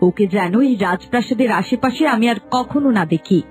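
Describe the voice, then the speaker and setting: A woman's voice: fairly high pitch, brisk, a little mellow, close to an everyday voice. A woman playing a vain, jealous young princess in a fairy-tale cartoon, speaking in a haughty, commanding way. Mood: angry, commanding. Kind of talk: animated fairy-tale dialogue. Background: music.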